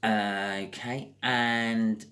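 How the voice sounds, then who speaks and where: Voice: mid-range voice, fairly even intonation, very slow, fairly bright tone, slight background noise. A British speaker giving a casual, improvised tarot card reading as host, chatting and thinking aloud with wry asides.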